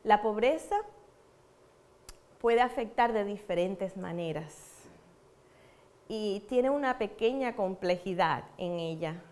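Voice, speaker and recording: A woman's voice: mid-range voice, takes it slowly, pauses often, darkish tone. A woman with a Cuban accent giving a church teaching, telling personal testimonies earnestly and repeating words for emphasis. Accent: Cuban Spanish. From Cuba. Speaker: preacher.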